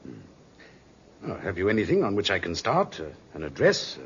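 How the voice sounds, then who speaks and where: Deep-voiced, animated private detective. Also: male voice, moderate pace, slightly rough, exaggerated voice. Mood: businesslike, probing. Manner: courteous, probing, clipped. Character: businesslike, polite private detective, formerly a policeman. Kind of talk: 1950s radio drama acting. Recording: muffled, hissy vintage radio recording.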